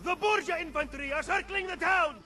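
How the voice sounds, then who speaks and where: An actor playing a loyal soldier in a game, calling out urgent warnings with a raised voice. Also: male voice, very high-pitched, a little fast, exaggerated voice. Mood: alarmed, urgent. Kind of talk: video game cutscene acting. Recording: loud music over the voice.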